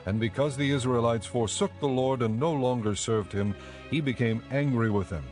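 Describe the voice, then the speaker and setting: A man narrating a dramatized audio Bible in a measured, clearly enunciated storytelling style. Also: mid-range voice, a little mellow, soft background music.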